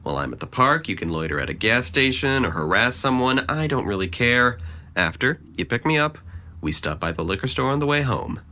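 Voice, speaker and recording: A man's voice: medium pitch, big pitch swings, a little fast, bright, forceful tone. A man playing a sardonic, scheming teenage boy in an audio drama, articulate and condescending. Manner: articulate, formal phrasing, condescending.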